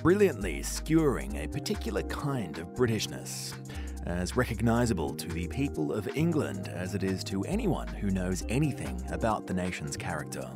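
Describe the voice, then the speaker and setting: A man with a lowish voice and lively intonation presents in a polished way, moving from a scripted introduction to interview questions. Music plays clearly underneath him. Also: moderate pace.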